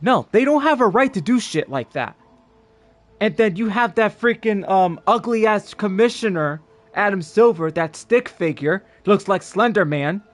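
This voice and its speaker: A man's voice: high voice, animated, a little slow. A man streaming a game and giving a casual, blunt, sweary rant with emphatic opinions. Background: game music.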